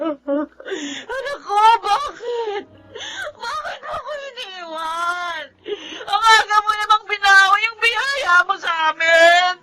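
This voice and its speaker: A woman's voice: very high voice, animated, slow, noisy background, exaggerated voice. A woman with a Filipino accent acting an anxious, loving young mother in a television melodrama, emotional and pleading. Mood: wailing grief.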